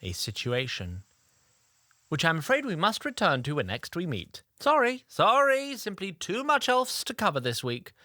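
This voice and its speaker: A man's voice: high-pitched, bright, forceful tone, dry recording, clean studio sound, exaggerated voice. A man playing a fussy, theatrical storyteller who narrates a fantasy audio drama in wordy, arch phrases and addresses listeners directly. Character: chatty, theatrical male storyteller narrator, fussy and self-aware.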